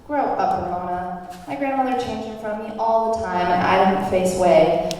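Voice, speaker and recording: A woman's voice: medium pitch, moderate pace, a little mellow, close to an everyday voice. A woman acting a reckless, teasing free spirit in a script table read, playful, bossy and nonchalant. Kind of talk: acted table read of a screenplay. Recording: echoey hall, far from mic, faint noise.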